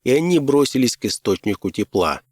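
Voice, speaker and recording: A man narrates an audiobook in a mid-pitched voice. The scripted story is read close and dry, with no room sound. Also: very animated, a little fast, breathy edge, no room sound.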